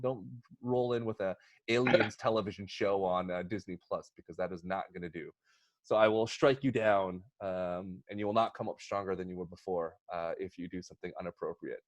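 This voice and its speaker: A man's voice: lowish voice, dry recording. A man hosting a podcast in casual chat, with a jokey, rambling manner and frequent asides. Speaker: podcast host. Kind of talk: casual chat, introducing topics and pitches.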